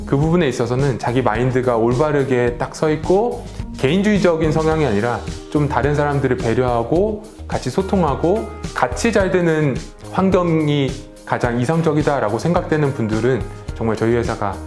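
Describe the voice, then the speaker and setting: A man's voice: mid-range voice, brisk, few pauses. A man who works as an educator speaks earnestly and motivationally in a testimonial interview, recorded in a roomy space. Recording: roomy echo, faint music. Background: faint music.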